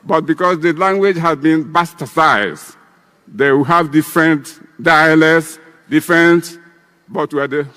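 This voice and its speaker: Slow, rambling Igbo-accented man in his 80s. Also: fairly high pitch, sharp tone, in a large hall. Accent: Nigerian Igbo. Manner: rambling, repeats himself, unhurried. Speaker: elder breaking kola nut at a convention. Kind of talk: ceremonial speech.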